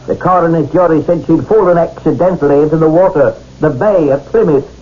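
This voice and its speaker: An actor playing a bitter, vengeful condemned English prisoner, mocking and theatrical in a radio drama. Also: male voice, a little high, a little fast, a little mellow, slight background noise, lightly acted. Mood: doomed, menacing. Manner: theatrical, mocking, swooping declamation. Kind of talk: 1970s radio drama acting. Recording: muffled old radio broadcast.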